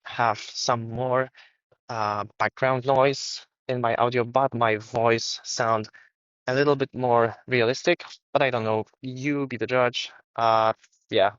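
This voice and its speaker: A man's dull, lo-fi voice comes through earbud microphones with heavy noise processing and a very dry sound. He speaks off the cuff, casual and hesitant, at a medium pitch. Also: fairly even intonation, slow, a bit bright, dry recording. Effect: heavy noise-cancelling processing. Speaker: tech reviewer. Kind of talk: unscripted microphone test. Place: outdoors by a busy street.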